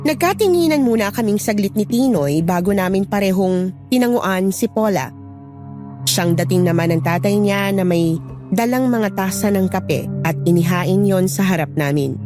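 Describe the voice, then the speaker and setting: A woman's voice: medium pitch, animated, moderate pace, music in the background. A woman giving scripted first-person narration of a horror story.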